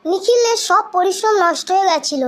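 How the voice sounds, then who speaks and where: A woman's voice: extremely high pitch, fairly quick, fairly bright tone. A woman reading scripted narration for a children's cartoon story.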